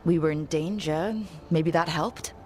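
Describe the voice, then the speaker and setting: An actress playing a steady, supportive woman adventurer and leader with a light wit in a video game. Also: low voice, a little slow, slight background noise. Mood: encouraging. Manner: encouraging, composed. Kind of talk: video game cutscene acting.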